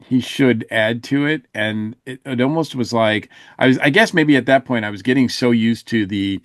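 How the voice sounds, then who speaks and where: A man with a mid-pitched voice gives a casual podcast review. He feels his way through his opinions, often hesitant as he searches for words, with a close, dry sound. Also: normal speed, dry recording. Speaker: podcast co-host reviewing audio dramas.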